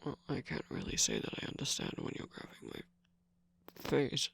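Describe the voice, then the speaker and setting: A man playing a guarded, sarcastic trickster villain in an ASMR romance roleplay, speaking haltingly in a breathy, husky whisper. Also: mid-range voice, huge pitch swings, fairly bright tone, no echo, heavily acted. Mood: vulnerable, wary. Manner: halting, defensive, teasing, with sighs and bitter laughs.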